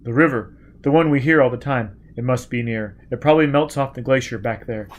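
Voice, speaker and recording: Male narrator as hard-driven fugitive convict, gruff and commanding, clipped lines, adventure story reading. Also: mid-range voice, a little fast, close to an everyday voice. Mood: forceful, impatient. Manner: terse, clipped, forceful, uneducated grammar.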